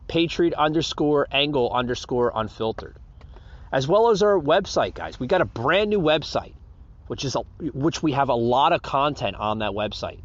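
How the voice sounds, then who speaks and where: A man's voice: medium pitch, lo-fi sound. An American man hosting a podcast in a casual, unscripted monologue, rambling and repeating himself as he addresses his listeners. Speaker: podcast host.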